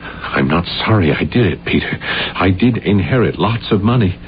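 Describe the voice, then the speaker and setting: Deep-voiced bitter forty-year-old man confessing. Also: animated, moderate pace, slight background noise. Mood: bitter, defeated. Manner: brooding, intense, dramatic. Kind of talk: 1970s radio drama acting, confessional narration. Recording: dull 1970s radio recording.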